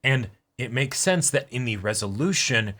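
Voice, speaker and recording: A man's voice: mid-range voice, expressive, normal speed, pushed and bright. A man co-hosting a reaction show, casually analysing and qualifying his points carefully.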